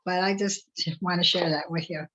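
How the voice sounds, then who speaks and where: A woman's voice: fairly low pitch, fairly even intonation, normal speed, bright, forceful tone, lo-fi sound. A woman informally sharing a personal story in a group discussion. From United States. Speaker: workshop participant.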